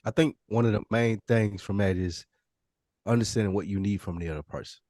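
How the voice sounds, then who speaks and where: Blunt, low-voiced man on a podcast. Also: brisk, darkish tone, no echo. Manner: blunt, slangy, swears freely. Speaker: podcast panelist. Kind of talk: casual group discussion.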